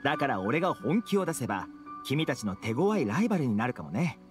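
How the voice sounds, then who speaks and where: A man's voice: medium pitch, sing-song, a little fast, lightly acted. An actor playing a friendly, easygoing young man in a Japanese fantasy anime game. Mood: relaxed, playful. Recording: background music behind dialogue.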